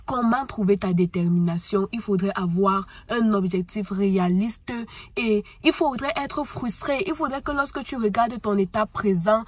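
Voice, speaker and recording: A woman's voice: mid-range voice, slightly rough, no room sound. A woman with a cold giving an earnest motivational talk, speaking directly to the listener and repeating her points. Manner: earnest, repetitive, addresses listener as tu. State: has a cold.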